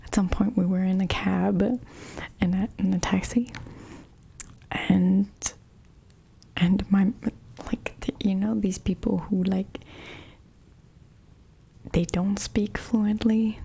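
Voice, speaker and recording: A woman's voice: medium pitch, level tone, slow, creaky, pauses often, dark tone, a little hiss or hum. A woman streamer who whispers casual stories and chat replies, often giggling and sometimes switching into French. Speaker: livestreamer reading chat.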